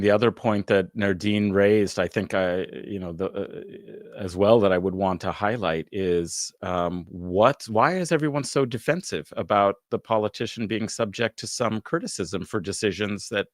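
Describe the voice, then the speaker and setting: A man's voice: fairly low pitch, dry recording. Guy hosting a panel stream, lots of uh and you know, dry close sound. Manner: halting, many fillers and restarts. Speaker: panel moderator. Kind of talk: livestream panel discussion.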